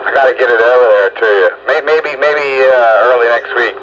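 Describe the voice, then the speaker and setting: A man's voice: mid-range voice, normal speed, lo-fi sound. An older man chatting casually with fellow hobbyists over the radio as he hosts a round-up. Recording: very noisy radio transmission.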